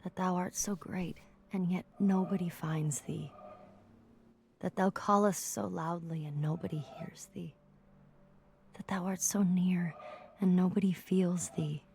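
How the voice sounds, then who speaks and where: A woman reading quotations for game audio logs in a deliberate, contemplative manner. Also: lowish voice, fairly even intonation, vocal fry, a little mellow, slight background noise.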